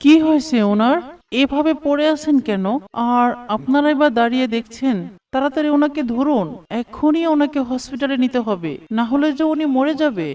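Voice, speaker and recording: A woman's voice: high voice, a little fast, darkish tone, close to an everyday voice. A woman voicing an earnest, eager young schoolboy in a dubbed cartoon. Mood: urgent. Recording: distant, dull lo-fi sound.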